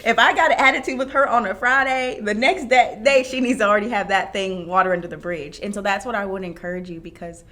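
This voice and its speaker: A woman hosting a heartfelt, faith-based conversation, speaking in an animated, emphatic way and quick to laugh. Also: mid-range voice. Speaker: host interviewing her mother.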